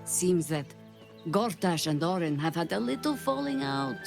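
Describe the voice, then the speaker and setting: A woman playing a wary, strategic adventurer in a video game, measured and calculating. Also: fairly low pitch, very animated, gravelly, over music, full character voice. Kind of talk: video game character acting.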